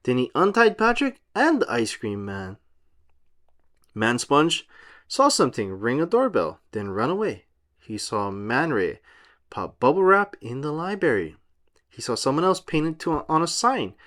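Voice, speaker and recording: Animated man reading a children's story. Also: mid-range voice, unhurried. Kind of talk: animated storybook read-aloud.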